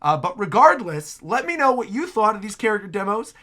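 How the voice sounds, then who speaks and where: Animated, bright-voiced man reacting excitedly. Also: high-pitched, fairly quick. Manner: effusive, exclamatory, slangy. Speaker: reaction video host. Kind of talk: excited reaction commentary, casual.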